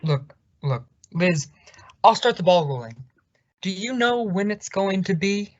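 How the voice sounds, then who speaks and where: A man's voice: sits high, lively intonation, measured pace, no echo, muffled recording. A man playing a jovial, meddling family friend in a radio sitcom, with a hearty, coaxing, theatrical delivery. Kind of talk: 1950s radio sitcom acting.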